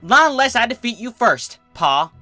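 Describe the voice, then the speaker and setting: A man's voice: very high-pitched, big pitch swings, moderate pace, pushed and bright, soft background music, voice pushed up, heavily acted. A man voicing a small, young female calf, bold, sassy and defiant, in a storybook reading. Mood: sassy challenge. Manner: defiant, crowing.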